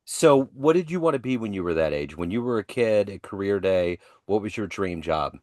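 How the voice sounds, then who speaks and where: A man with a lowish voice hosts an interview and asks probing follow-up questions. His voice sounds close and dry, with no room echo. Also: lively intonation, normal speed, darkish tone. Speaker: interview host.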